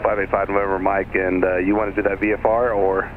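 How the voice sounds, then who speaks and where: A man's voice: sits low, level tone, quick pace. A male air traffic controller giving clipped procedural instructions over an aircraft radio. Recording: tinny aviation radio, faint noise.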